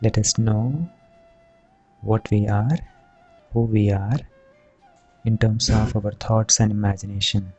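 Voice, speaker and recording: A man guides a meditation at a very relaxed pace. His voice is mellow and soothing, set in short, deliberate phrases with pauses between them. The recording is a little dull, with music playing behind him. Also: on the low side, slightly rough. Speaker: yoga and meditation teacher. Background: background music.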